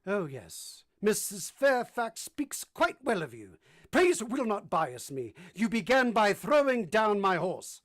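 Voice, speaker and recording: An actor playing an imperious, blunt middle-aged English master of the house in a vintage-style radio drama. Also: male voice, high voice, sing-song, slow pace, a bit bright, heavily acted. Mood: stern, probing.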